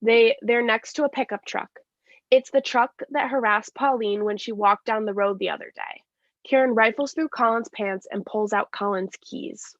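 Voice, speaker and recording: Creaky-voiced woman reading stage directions. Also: fairly high pitch, moderate pace, no room sound. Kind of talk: scripted narration. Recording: dry, slightly dull lo-fi sound.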